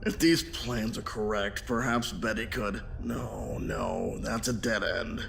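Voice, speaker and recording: A man's voice: on the low side, very animated, takes it slowly, vocal fry, fairly bright tone, a little hiss or hum, full character voice. A man playing a brooding, careworn ship captain in an audio drama. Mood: pensive, preoccupied.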